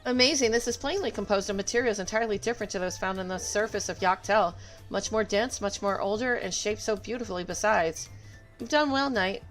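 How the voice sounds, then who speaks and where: A woman's voice: mid-range voice, brisk, faint music behind, low-quality recording. A woman game streamer talking casually on a livestream and reading game dialogue aloud. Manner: opinionated, emphatic.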